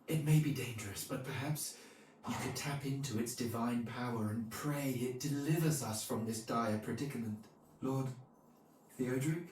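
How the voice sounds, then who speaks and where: A man's voice: mid-range voice, moderate pace, a little mellow, a little hiss or hum, lightly acted. An actor playing a loyal, respectful retainer who recounts his lord's quest in a video game. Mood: humble pleading. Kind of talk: storytelling narration and game cutscene acting. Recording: distant, echoey, music behind.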